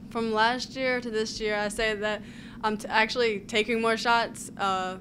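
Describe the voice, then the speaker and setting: A woman's voice: on the high side, steady tone, measured pace, fairly bright tone. A young adult woman, a college basketball player, giving brief, hesitant answers into a table mic in a press room. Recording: noisy room ambience.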